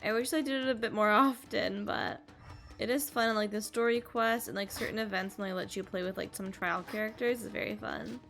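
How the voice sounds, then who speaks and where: Female streamer, casual gameplay chat, upbeat, fry in the voice, soft game music underneath. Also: medium pitch, fairly even intonation, fairly quick. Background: faint game music.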